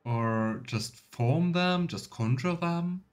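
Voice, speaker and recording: A man's voice: fairly high pitch, animated, slow pace. A man streaming a video game with casual, musing commentary, thinking aloud as he plays. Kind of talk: casual let's play commentary.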